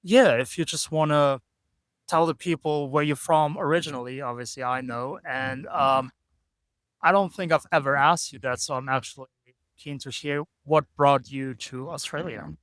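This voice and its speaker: A man's voice: on the high side, moderate pace, a bit bright, no room sound. A man hosting a casual podcast interview, talking in a hesitant, rambling way with lots of ums and "so, yeah". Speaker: podcast host.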